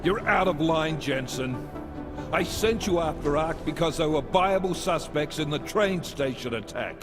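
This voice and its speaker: Higher-pitched, stern commander. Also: male voice, expressive, a little slow, long reverb tail. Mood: angry. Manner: stern, blunt. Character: exasperated, blunt male police unit commander. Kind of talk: cinematic video game acting. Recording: game soundtrack music behind.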